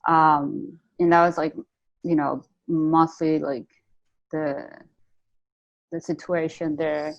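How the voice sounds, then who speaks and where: A woman's voice: deep voice, level tone, takes it slowly, pauses often, highs cut off. A woman from Venezuela with a Venezuelan Spanish accent, chatting casually as a guest and rambling with lots of "like". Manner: rambling, heavy on "like" fillers. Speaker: guest artist. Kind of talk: casual interview chat.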